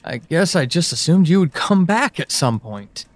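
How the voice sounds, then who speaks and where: A man acting as an anxious, higher-voiced pleading guy. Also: big pitch swings, no echo. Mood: hurt, hesitant. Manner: halting, earnest, with pauses and emphatic swings. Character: anxious, pleading man reaching out to a lost loved one. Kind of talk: dramatic monologue in an audio drama.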